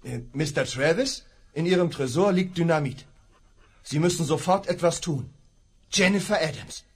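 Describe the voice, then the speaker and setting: A man's voice: a little high, animated, normal speed, breathy edge. An actor playing an earnest young male prisoner in a 1970s radio drama, pleading and urgent.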